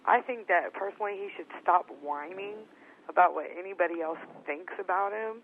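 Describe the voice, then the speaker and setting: A woman's voice: lowish voice, a little fast, slight background noise. A woman calling into a radio show by telephone, arguing in a blunt, firm, dismissive way. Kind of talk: call-in radio argument. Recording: muffled narrow telephone line.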